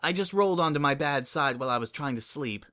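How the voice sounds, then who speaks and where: Tired young unicorn mage, read plainly by the narrator, low-key and guilty sounding. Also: animated, fast, a bit bright, lightly acted. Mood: subdued. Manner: subdued, understated replies. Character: young unicorn mare sorceress, weary and guilt-ridden.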